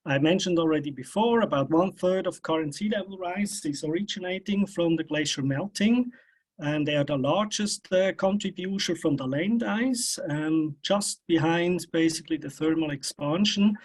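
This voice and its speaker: A man with a somewhat higher-pitched voice presents scientific research in an academic talk. He explains step by step in a hesitant way, pausing often between phrases. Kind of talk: academic seminar talk with slides.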